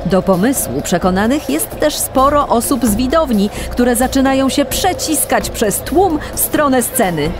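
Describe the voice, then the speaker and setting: Female narrator for a kids' audio play, slightly high voice, prominent score underneath. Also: expressive, moderate pace, hardly any pauses. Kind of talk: dramatic scripted narration for children's audio drama. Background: loud music.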